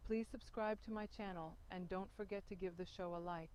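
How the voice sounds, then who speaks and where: A woman reading a short channel intro as a flat, read-out announcement. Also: medium pitch, brisk, smooth. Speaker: show announcer. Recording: quiet, distant intro with faint background hum.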